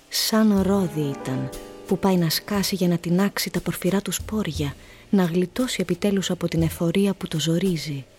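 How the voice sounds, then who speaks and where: A woman narrating a radio play as a literary storyteller, measured and descriptive. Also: on the low side, darkish tone, natural voice.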